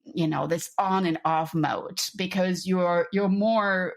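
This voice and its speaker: A woman's voice: lowish voice, fairly even intonation, slow. A middle-aged woman from Europe, a business coach speaking as a podcast guest in an enthusiastic, chatty way with frequent restarts. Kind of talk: conversational podcast interview.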